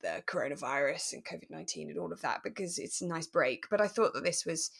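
A woman co-hosting a podcast, explaining things step by step in a relaxed, conversational way. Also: deep voice, fast talker, gravelly, husky. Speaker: podcast co-host.

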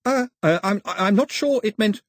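A man doing a small chatty islander, high and rushing. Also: animated, no echo. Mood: eager. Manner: talkative, stammering, rushing.

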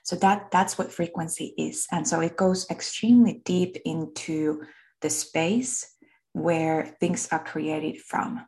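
Finnish-accented woman, deep voice w/ some fry, earnest teacher vibe, lots of uh and really really. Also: steady tone, takes it slowly, a little mellow. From Finland. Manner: earnest, repeats words for emphasis, many fillers. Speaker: frequency work teacher. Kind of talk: spoken introduction and teaching.

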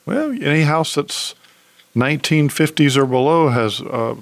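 A man's voice: on the high side, very animated, a little slow, vocal fry, dry recording. A man who works as a contractor, co-hosting a home-improvement radio show in easygoing, plain-spoken chat.

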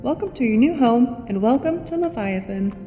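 An actress playing a polished, reassuring public announcer in an audio drama, speaking formally and courteously. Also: female voice, a little high, lively intonation, normal speed, warm tone, lo-fi sound, natural voice. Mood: inviting, promotional. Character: polished, reassuring female welcome announcer of an undersea city. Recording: long-reverb announcement over light music.

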